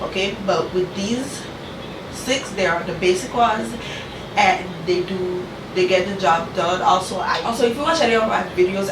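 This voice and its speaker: A woman's voice: fairly low pitch, background noise. A woman with a congested, nasal voice from a cold, chatting casually as host of a cooking vlog and often saying "you guys" and "okay". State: congested from a cold, talking through her nose. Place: home kitchen. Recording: echoey room, mic at a distance.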